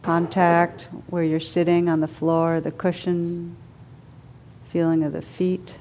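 A woman guides a meditation in a relaxed, mellow voice that sits a little low. She gives gentle, soothing instructions in short phrases with pauses between them. The live recording carries some faint rumbling noise. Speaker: meditation teacher.

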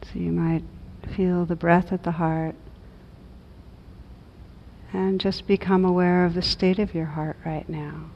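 A woman leading a guided meditation as a teacher, gentle and measured, with long pauses between reflective phrases. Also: flat delivery. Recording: dull muffled audio, low rumbling noise.

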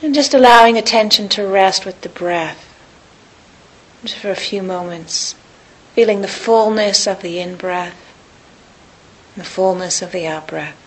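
A woman teaching a guided meditation in a calm, unhurried, gentle manner with long pauses. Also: medium pitch, low-quality recording. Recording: hissy recording with clear background noise.

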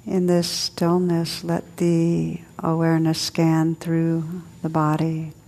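A woman leading a guided meditation as a teacher, soothing and unhurried, speaking in short phrases with long pauses. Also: deep voice, monotone, vocal fry, dark tone. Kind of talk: guided meditation, spoken to a group.

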